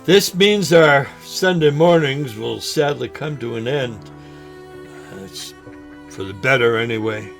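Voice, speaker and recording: A man playing a sentimental, ailing old fisherman in an audio drama, speaking in a halting, folksy way. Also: medium pitch, vocal fry, faint music behind. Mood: sad, apologetic. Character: ailing old man, sentimental lifelong fishing buddy, gently joking.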